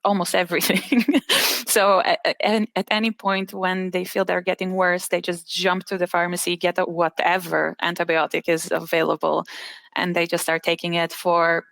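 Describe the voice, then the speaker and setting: A woman's voice: fairly low pitch, little pitch movement, normal speed, gravelly, fairly bright tone, no echo. A woman from Bulgaria, a patient advocate, speaking in an online interview with frequent fillers and restarts. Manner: hesitant, many fillers and restarts.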